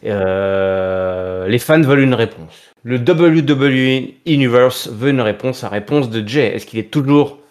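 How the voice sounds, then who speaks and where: A man co-hosts a wrestling podcast with a mid-pitched voice. He recaps the shows in loose, casual chat. His manner is jokey and mocking, and he likes to repeat a phrase for effect. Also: measured pace, hardly any pauses, a little mellow.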